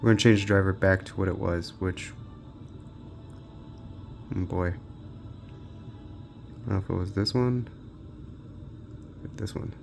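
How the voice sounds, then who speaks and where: A man's voice: sits low, measured pace, gravelly, pauses often, over music. A man giving casual, off-the-cuff commentary while testing games, muttering and thinking aloud, as a handheld gaming reviewer.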